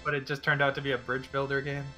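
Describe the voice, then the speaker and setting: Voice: medium pitch, monotone, fast. A co-host in a casual game chat, blunt and dismissive, swearing freely. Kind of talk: casual chat about games. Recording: music clearly behind.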